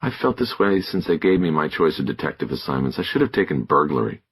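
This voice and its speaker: A man's voice: very deep voice, big pitch swings, quick pace, husky, heavily acted. A man voicing an earnest, self-doubting young female detective in audiobook dialogue.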